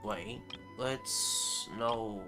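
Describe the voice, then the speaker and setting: A man's voice: on the low side, very slow, over music. A man livestreaming a game with casual commentary, muttering short exclamations to himself and chatting to viewers. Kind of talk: casual live gameplay commentary.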